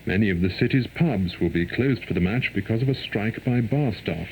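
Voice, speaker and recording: A man reads a formal, measured world news bulletin, heard over shortwave radio. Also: lowish voice, a little mellow. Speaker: radio newsreader. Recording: noisy 1990s shortwave radio reception.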